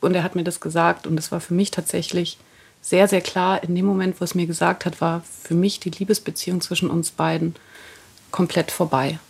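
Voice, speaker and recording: Woman, thirties, podcast guest, husky voice, creaky edge, candid personal storytelling. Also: lowish voice, level tone, fairly quick. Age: 30s.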